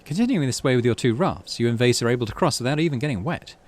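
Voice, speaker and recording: A man, middle-aged, hosting a game playthrough, mixing casual commentary with expressive story reading. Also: mid-range voice, fast. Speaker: let's play host.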